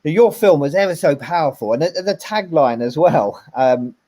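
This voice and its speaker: A man's voice: on the high side, lively intonation, normal speed, mellow. A man hosting a casual interview, praising a film with enthusiasm and talking in a rambling way with many fillers. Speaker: host.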